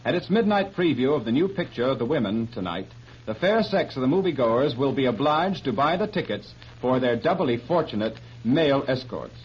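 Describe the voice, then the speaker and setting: A man reading a 1930s radio newscast and station announcements in a brisk announcer delivery. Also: mid-range voice, lively intonation. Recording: dull old radio broadcast with faint hiss.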